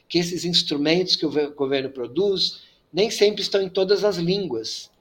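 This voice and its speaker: A priest speaking as a guest on a panel, explaining migration rules in a measured way with frequent fillers. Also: male voice, high voice, a bit bright, husky. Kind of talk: panel discussion.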